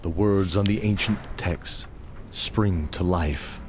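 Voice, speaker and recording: A man with a low, deep voice narrates a scripted fantasy audio drama. Music plays clearly behind his storytelling. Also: slow pace. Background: prominent background music.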